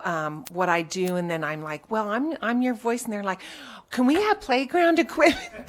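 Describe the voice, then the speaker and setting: A woman school trustee giving heartfelt thanks at a board meeting, effusive and loud-voiced. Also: medium pitch. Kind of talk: thank-you remarks at a meeting.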